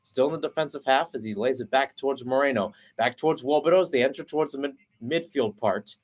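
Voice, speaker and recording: A young man delivering live soccer play-by-play at a brisk, steady clip. He tracks each pass in a running call and corrects himself on the fly. His voice sits at an ordinary middle pitch for a man. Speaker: play-by-play commentator.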